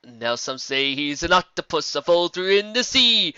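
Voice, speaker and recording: A man voicing a mysterious female zebra bard who sings her warnings in slow, drawn-out rhyme, on a dull lo-fi recording. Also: fairly high pitch, lively intonation, bright, forceful tone, clean tone, dry recording, pitched above the performer's own voice. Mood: ominous singing. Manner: sung, rhyming and slow.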